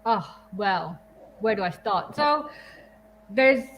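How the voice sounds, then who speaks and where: A woman's voice: medium pitch, animated, takes it slowly, fairly bright tone, a little hiss or hum. A young woman from Kenya, a marine biologist, talking thoughtfully and hesitantly in a casual livestream. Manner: thoughtful, hesitant, laughs often.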